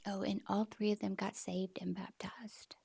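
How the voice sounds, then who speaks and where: A woman with a drawl tells a personal story from her office, her voice heavily gravelly and breathy-husky. She rambles casually, full of "like", often sinking to a near-whisper. Her tone sits dark and mellow, with a dry, close sound. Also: medium pitch, little pitch movement, normal speed, no echo. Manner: rambling, frequent "like", often hushed and half-whispered.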